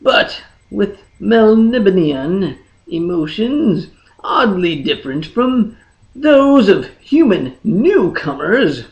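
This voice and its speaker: Audiobook narrator, dramatic fantasy reading, widely swooping intonation, creaky voice, dull lo-fi recording. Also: mid-range voice, sing-song, takes it slowly. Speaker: audiobook reader.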